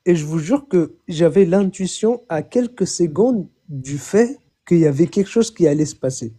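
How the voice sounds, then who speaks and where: Bright young man, halting testimony. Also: a little high, expressive, breathy edge. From Chad. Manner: halting, repeats words, often says "je vous jure". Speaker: caller. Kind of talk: phoned-in personal testimony.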